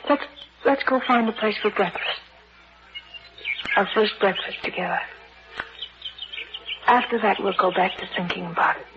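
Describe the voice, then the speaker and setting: An actress playing an anxious, devoted young bride with an American accent, pleading earnestly in a 1940s radio thriller. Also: female voice, mid-range voice, moderate pace, pauses often, natural voice. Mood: tender, anxious. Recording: muffled, noisy old radio drama recording.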